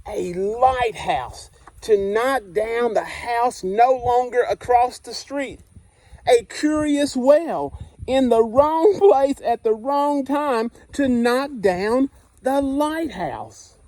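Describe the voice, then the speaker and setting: A man's voice: extremely high pitch, takes it slowly, a little mellow, dry recording. A man, a school principal, reading a children's picture book aloud expressively, with asides to the listeners.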